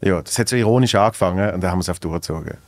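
A man's voice: sits low, rapid-fire. A young man with a Basel Swiss German accent hosting a podcast, talking hesitantly with many restarts and easy laughs. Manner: hesitant, repeats words, laughs often. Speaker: podcast host. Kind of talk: casual conversation. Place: dark podcast studio at a table. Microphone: studio desk mic on a boom arm.

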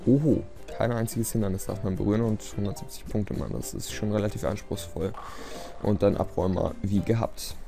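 Low, mellow man commentating a game. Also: fairly quick, vocal fry. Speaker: let's play commentator. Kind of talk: casual gameplay commentary. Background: game music.

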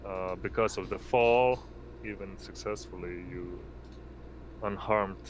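Slow, halting low-voiced man. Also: steady tone. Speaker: game master. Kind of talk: tabletop game narration. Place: indoor online voice session. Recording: noisy home recording, constant background hum.

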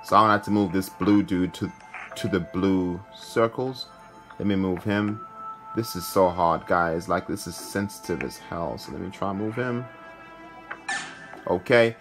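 A male gaming host with a fairly deep voice talks casually through what he is playing. He is recorded indoors, with quiet game music underneath. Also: measured pace. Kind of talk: casual gameplay commentary. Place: indoor room, filming a handheld phone.

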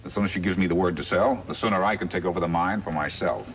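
A man's voice: deep voice, fast talker, fairly bright tone. An actor playing a scheming, smug crooked lawyer in a 1950s radio western, calculating and emphatic in his delivery. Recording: noisy vintage 1950s radio recording.

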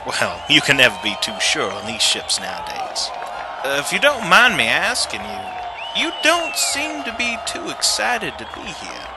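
A breezy, sardonic husband from a 1950s period drama, acted by a man, with a lively medium voice. Loud music and a busy crowd sit behind him. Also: a little high, measured pace, exaggerated voice, rough recording. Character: wry, brash 1950s American husband. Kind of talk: amateur radio play acting, 1950s period drama.

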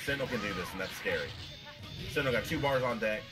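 A man giving excited, casual play-by-play commentary on a fighting game match, often shouting. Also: on the low side, quick pace. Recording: game music loud behind. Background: loud video game music and sound effects.

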